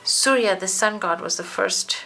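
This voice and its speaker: A woman reads a children's story aloud in an expressive, deliberate way. Her voice is bright and forceful with noticeable fry, and there is faint noise behind her. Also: mid-range voice. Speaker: storybook narrator. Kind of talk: children's read-aloud, scripted narration.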